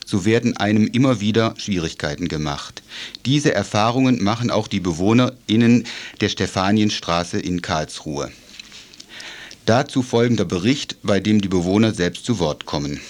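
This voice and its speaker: A man narrating a scripted report as a radio reporter. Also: on the low side.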